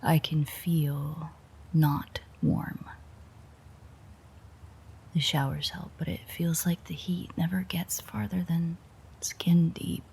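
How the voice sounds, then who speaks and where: A woman playing a sardonic, teasing, world-weary female vampire in an intimate audio roleplay, with a breathy, husky, whispery voice and a dry manner. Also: low voice, level tone, slow pace, long pauses, darkish tone, slight background noise, heavily acted. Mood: weary, subdued.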